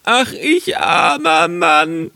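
A man's voice: medium pitch, sing-song, no echo, full character voice. A man voicing a hapless, stubborn wagon driver who laments in a fairy tale. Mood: wailing despair. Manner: loud, drawn-out lamenting. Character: hapless, wailing male wagon driver, hot-tempered and stubborn.

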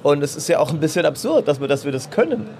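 A man's voice: a little high, big pitch swings, quick pace, warm tone, a little hiss or hum. A man in his thirties chatting casually and playfully as a games journalist on a trade fair floor, with many fillers and restarts. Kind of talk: casual chat.

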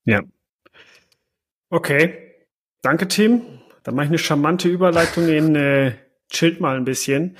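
A German man with a cold co-hosting a podcast, relaxed and joking in casual chat. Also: a little high, measured pace, long pauses, a little hiss or hum. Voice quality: husky. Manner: laughs easily, relaxed joking. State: has a cold. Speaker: podcast co-host.

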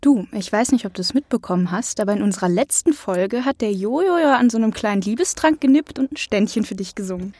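A woman's voice: mid-range voice, lively intonation, fast, few pauses, a little mellow, no room sound, clean studio sound. A woman hosting an interview, asking questions in a casual, chatty style. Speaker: interviewer, host.

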